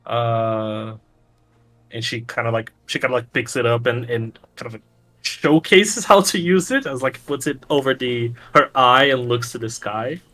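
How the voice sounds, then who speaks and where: A man from Brazil with a Brazilian accent, Portuguese his first language, narrating as game master in a loose, halting way full of fillers. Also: mid-range voice, a bit bright.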